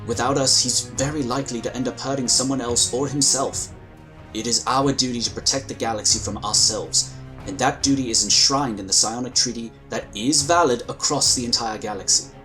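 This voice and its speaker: A man playing a calm, dutiful psionic agent in a sci-fi audio drama, polite but firm, with faint music underneath. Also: mid-range voice, fairly quick, close to an everyday voice, poor audio. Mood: earnest.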